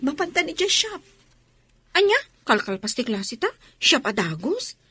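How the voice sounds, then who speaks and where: A woman's voice: sits high, very animated, measured pace, sharp tone, faint music behind, heavily acted. A woman with a Filipino accent acting a teasing, outspoken young student worker in a radio drama. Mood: teasing, animated.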